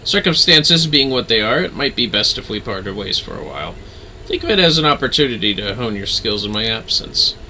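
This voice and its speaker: A man voicing a mysterious dark knight mentor from a fantasy game, solemn, measured and formal. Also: lowish voice, very animated, a little hiss or hum, full character voice, low-quality recording. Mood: grave, reassuring.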